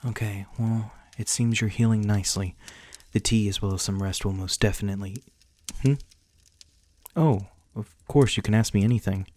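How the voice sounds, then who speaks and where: A man playing a reclusive, protective vampire lord in an ASMR roleplay, speaking formally and courteously in measured words. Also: fairly low pitch, fairly even intonation, darkish tone, close to an everyday voice. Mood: courteous, soothing. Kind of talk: ASMR roleplay acting, addressing the listener.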